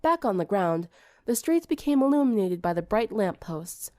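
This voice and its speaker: A woman's voice: mid-range voice, sing-song, moderate pace, warm tone, no room sound, studio quality. A woman reading scripted fiction as an audiobook narrator.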